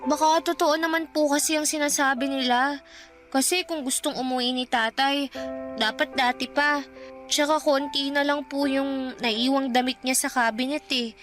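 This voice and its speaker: An actress playing a young, hungry, innocent Filipino granddaughter in a Tagalog radio drama, anxious and plaintive. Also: female voice, high voice, steady tone, moderate pace, a bit bright, soft background music.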